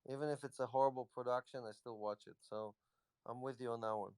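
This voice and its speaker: A man's voice: mid-range voice, steady tone, quick pace, a little mellow, clear, resonant tone, dry recording. A man, a company executive, casually answering questions on a live audio chat in a loose, rambling way. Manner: rambling, loose, lots of "you know".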